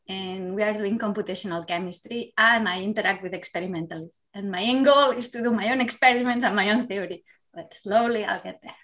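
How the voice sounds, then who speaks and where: A woman's voice: mid-range voice, a bit bright. Woman in her thirties. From Spain. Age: 30s. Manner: candid, reflective, laughs easily. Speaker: guest, university lecturer. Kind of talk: casual interview chat.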